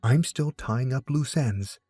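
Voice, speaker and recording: A man voicing a bossy, sharp-tongued teenage girl detective with a businesslike manner in an audiobook. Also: mid-range voice, slow pace, husky, dry recording, studio quality, soft background music. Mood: brisk, commanding. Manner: commanding, businesslike. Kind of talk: audiobook character dialogue.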